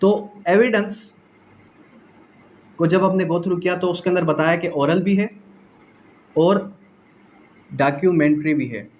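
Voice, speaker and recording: A man's voice: high voice, a little slow, long pauses, a little mellow, lo-fi sound, slight background noise. A man with a Pakistani accent giving a didactic law lecture, repeating phrases, asking rhetorical questions and mixing in English legal terms. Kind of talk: exam-prep lecture, explaining point by point.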